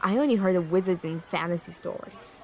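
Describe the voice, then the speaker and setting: A woman's voice: fairly low pitch, brisk, natural voice. A woman playing a sensible, inquisitive youngster with a mildly skeptical manner in an audio drama.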